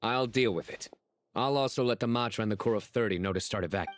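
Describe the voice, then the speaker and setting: A man's voice: lowish voice, fast talker, close to an everyday voice. An actor playing a stern, resolute male enforcement officer in a video game, controlled and matter-of-fact. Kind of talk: scripted video game voice acting. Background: background music.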